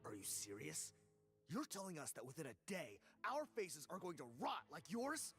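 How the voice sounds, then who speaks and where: A man's voice: fairly high pitch, wildly swooping pitch, moderate pace, fairly bright tone, soft background music, exaggerated voice. A man voicing an aggrieved, distrustful crook in a video game, accusing and indignant. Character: aggrieved male crook, hot-tempered, distrustful former partner.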